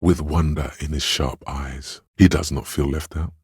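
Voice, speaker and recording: A man playing the gentle, perceptive inner voice of empathy in a role-playing game, narrating in a measured, intimate, solemn and literary way. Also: fairly even intonation, no room sound, studio quality, full character voice. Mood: tender, perceptive. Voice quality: very deep, gravelly, husky.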